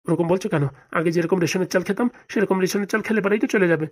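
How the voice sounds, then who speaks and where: An actor playing an easygoing, reassuring middle-aged village husband in a comedy cartoon. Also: male voice, high-pitched, very fast. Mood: mildly exasperated.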